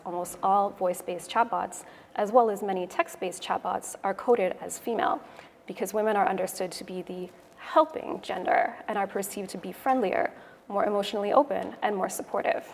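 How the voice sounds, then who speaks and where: A woman's voice: medium pitch, a little fast. Canadian woman speaking in an echoey hall. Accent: Canadian English. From Toronto, Canada. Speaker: conference speaker, web developer. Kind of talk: prepared conference talk. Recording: echoey hall, noisy room ambience.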